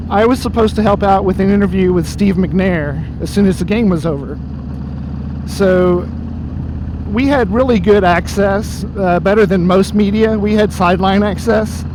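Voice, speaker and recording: A man telling an embarrassing story in a casual, rambling way while riding, his voice going hoarse. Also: sits high. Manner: rambling, self-deprecating, easily startled by dogs. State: losing his voice. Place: outdoors on a motorcycle on the road. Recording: road and engine noise.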